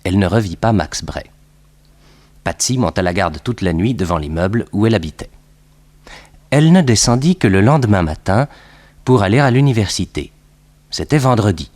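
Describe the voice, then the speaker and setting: An actor playing a detective's composed sidekick, recounting the case as narrator of a 1960s French radio crime serial. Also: male voice, lowish voice, a little mellow, natural voice. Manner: measured, storytelling.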